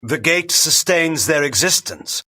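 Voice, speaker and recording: An actor playing a proud, cunning vampire noble in a video game, with a theatrical, formal and imperious manner. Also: male voice, fairly high pitch, animated, unhurried, sharp tone, full character voice. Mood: grimly comprehending. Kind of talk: dramatic video game cutscene acting.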